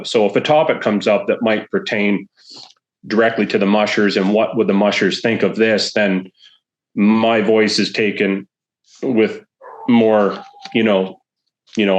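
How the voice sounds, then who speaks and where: Low-voiced man, measured and rambling. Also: lo-fi sound. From Alaska. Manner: measured, rambling with many you-knows. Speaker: musher representative, podcast guest. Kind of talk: casual podcast conversation.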